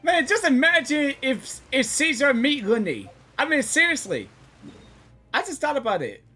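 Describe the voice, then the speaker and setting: A woman giving casual, spontaneous reactions to a game in a halting, sympathetic way, often repeating her words. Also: high voice, very animated, pushed and bright, faint music behind. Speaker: gaming reaction video creator.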